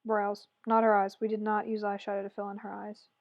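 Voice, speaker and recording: A woman with a hoarse, raspy voice chatting through a makeup tutorial voice-over, rambling and correcting herself as she goes. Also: medium pitch, completely flat delivery, fairly quick, dry recording, highs cut off. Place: voice-over recorded separately from the video.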